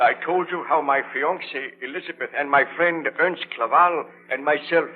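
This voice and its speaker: An actor playing an obsessed, excitable baron and scientist in a melodramatic, declamatory 1930s radio drama style. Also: male voice, on the high side, close to an everyday voice. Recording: tinny, noisy 1930s radio recording.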